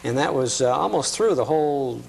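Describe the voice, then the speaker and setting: A man's voice: mid-range voice, expressive, brisk, gravelly. An American man hosting a casual interview show in a television studio. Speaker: talk show host. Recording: noisy, humming 1980s cable TV studio.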